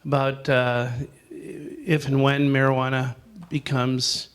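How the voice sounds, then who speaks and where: A man's voice: mid-range voice, flat delivery, takes it slowly, clean tone. A man leading a campground owners' discussion in a meeting room, casual and rambling, with many fillers and restarts. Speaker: discussion group leader.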